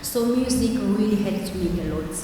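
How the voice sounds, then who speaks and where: A woman whose first language is Swahili, speaking slowly and haltingly as she searches for words and restarts her phrases. She is heard from far off in a very echoey, large hall, with steady background noise behind her voice. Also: medium pitch. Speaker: conference speaker. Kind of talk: personal testimony talk. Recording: echoey hall, distant mic, noisy.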